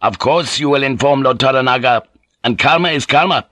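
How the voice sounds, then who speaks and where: A man's voice: mid-range voice, fairly quick, bright, forceful tone, dry recording, clean studio sound, voice pushed up, close to an everyday voice. A man voicing a proud young samurai bent on honor, formal and defiant, in an audiobook. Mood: proud resolve. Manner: formal, defiant, clipped politeness. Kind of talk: audiobook character voice.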